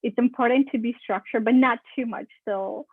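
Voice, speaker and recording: A woman's voice: fairly high pitch, moderate pace, breathy edge, no echo. Woman on a tinny call line. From California. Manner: chatty, restarts, repeats words for emphasis. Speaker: podcast guest, marketing consultant. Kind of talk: casual interview answers. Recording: dry, tinny phone-like call audio.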